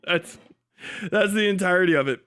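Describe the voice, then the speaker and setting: Bright, clear-voiced man chatting on livestream. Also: high-pitched, normal speed. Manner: sweary, dismissive, sardonic. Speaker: livestream host. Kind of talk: casual livestream chat.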